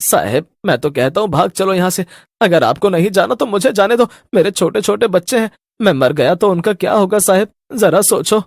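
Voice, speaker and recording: A man's voice: high voice, animated, moderate pace, gravelly, no echo, exaggerated voice. A man acts out a timid police constable in a horror cartoon. He pleads anxiously, like a frightened family man. The sound is close and dry. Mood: desperate pleading. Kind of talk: Hindi horror cartoon voice acting.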